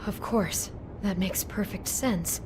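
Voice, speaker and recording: An actress playing a shaken, compassionate young woman in a video game, speaking in an anxious, halting, emotional way. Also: mid-range voice, darkish tone, slight background noise, lightly acted. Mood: disturbed. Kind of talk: naturalistic video game voice acting.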